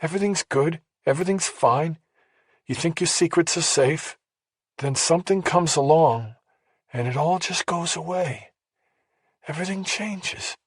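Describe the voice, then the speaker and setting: A man voicing a grieving, guilt-ridden middle-aged mother in an audiobook, sorrowful and halting. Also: a little high, slightly rough, no echo, clean studio sound, voice pushed up. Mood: resigned, bitter. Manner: sorrowful, halting, bitterly resigned.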